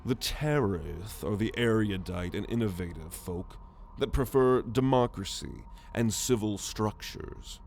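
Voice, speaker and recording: A man voicing a proud, gruff alien military renegade in an ASMR roleplay, haughty and sardonic in a measured delivery. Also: fairly low pitch, lively intonation, a little mellow, slight background noise. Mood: haughty, explaining. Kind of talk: ASMR roleplay monologue to the listener.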